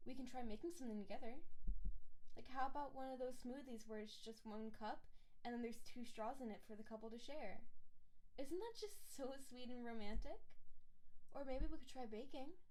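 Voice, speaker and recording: Woman voicing a doting, high-voiced yandere snow-spirit wife. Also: darkish tone, close to an everyday voice, rough recording. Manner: sweet, gushing, apologetic, clingy. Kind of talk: intimate audio roleplay, speaking to the listener.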